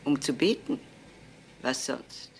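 An actress playing a pious, self-assured family friend in a radio crime play, speaking firmly and with some sanctimony. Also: female voice, rumbling low, big pitch swings, slow, slightly rough, heavily acted. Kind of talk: German radio crime drama acting.

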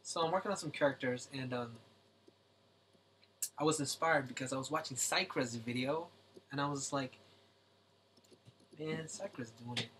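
A man hosting a casual late-night drawing livestream at home, his voice a little raspy and kept low so as not to wake anyone. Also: moderate pace, long pauses, slight background noise. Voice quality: speaks softly to keep voice down. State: slightly raspy from singing.

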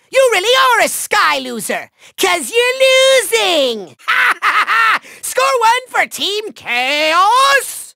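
A man's voice: pushed and bright, dry recording, full character voice. Bombastic little game villain, male: piercing, gritty, taunting and petulant, recorded very dry. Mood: gloating. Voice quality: shrill, gravelly. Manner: bombastic, taunting, whiny, theatrical. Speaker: voice actor in a video game. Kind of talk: theatrical cartoon villain voice lines.

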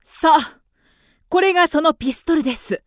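Woman acting a very high-voiced, haughty widow. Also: lively intonation, normal speed, dry recording, studio quality, faint music behind. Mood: scornful challenge. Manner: haughty, sharp-tongued, formal feminine speech. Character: proud, fiery young widow, haughty and fickle. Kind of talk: theatrical radio drama acting.

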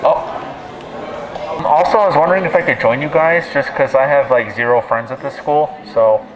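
Deadpan young man through a megaphone. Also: medium pitch, normal speed, rough recording. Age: 20s. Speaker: prankster and video host. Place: campus lawns and lounges, some distance from camera. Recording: megaphone voice amid background chatter.

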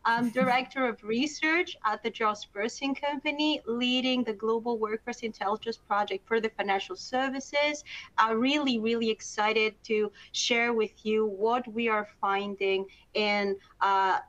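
A woman's voice: a little high, steady tone, unhurried, a bit bright. Upbeat woman on a dull video call. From Greece. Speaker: research director, webinar co-presenter. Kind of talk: webinar introduction.

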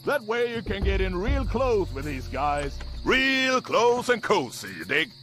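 Sly male fixer, high-pitched, very animated drawl, teasing, game cutscene with music and insects. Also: measured pace. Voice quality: creaky. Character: sly, folksy, laid-back male secret agent fixer. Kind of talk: video game cutscene acting.

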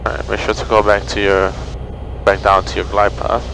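A man's voice: lowish voice, completely flat delivery, moderate pace, gravelly, rough recording. A man working as a flight instructor, giving short coaching instructions over a headset in a small airplane cockpit. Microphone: aviation headset mic. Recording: loud aircraft engine noise.

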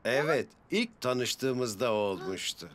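A man's voice: mid-range voice, animated, slow pace. A man voicing a jolly grown-up bus telling stories in a children's cartoon dub. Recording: music behind the voice.

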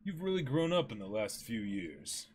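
A man's voice: fairly low pitch, big pitch swings, slight background noise. A man voicing a cold, bitter pirate villain posing as a mock-polite butler in a dramatic manga reading. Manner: slow, deliberate, mock-polite.

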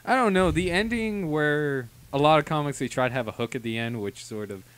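Clear, smooth-voiced young man. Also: a little high, a little fast, fairly bright tone, dry recording. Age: young adult. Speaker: co-host on a review panel. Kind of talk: casual review chat. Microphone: handheld microphone.

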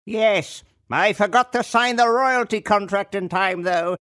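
An actor playing a cranky, melodramatic old mad scientist in a cartoon game. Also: male voice, high-pitched, unhurried, a bit bright. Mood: regretful. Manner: melodramatic, grumbling.

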